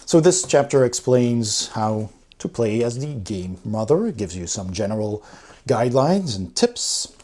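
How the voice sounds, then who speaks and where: A man's voice: medium pitch, sing-song, measured pace, darkish tone. A man giving a casual, unscripted review, explaining things in an informal and enthusiastic way. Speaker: board game reviewer.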